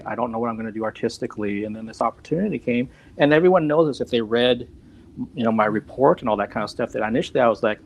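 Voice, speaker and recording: A man's voice: medium pitch, poor audio. A man in his fifties chatting casually on a video call, rambling and self-deprecating and laughing often. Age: 50s. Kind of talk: casual chat.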